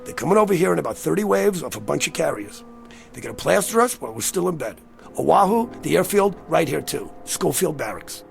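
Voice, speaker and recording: Wisecracking hustler guy, real gravelly, swings up and down, radio drama style. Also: a little high, very animated, a little fast, faint music behind, heavily acted. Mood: urgent. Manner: slangy, wisecracking, cajoling. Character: brash, fast-talking gambler, agitated man out of his time. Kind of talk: radio drama acting and first-person narration.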